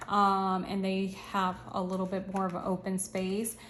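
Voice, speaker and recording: Upbeat, slangy woman host, fraying hoarse voice, steady flat delivery. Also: medium pitch, normal speed, reverberant, a little hiss or hum. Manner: chatty, upbeat, slangy, thinks aloud. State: losing her voice, slightly hoarse. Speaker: channel host reviewing planners. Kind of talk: casual product review walkthrough.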